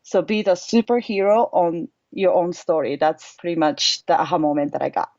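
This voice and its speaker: A woman's voice: on the low side, steady tone, normal speed, a little mellow, dry recording. A woman in her thirties with a Japanese accent, answering interview questions in an earnest, reflective way. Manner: earnest, reflective, plain phrasing. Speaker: guest, mindset coach. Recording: slightly dull, dry audio.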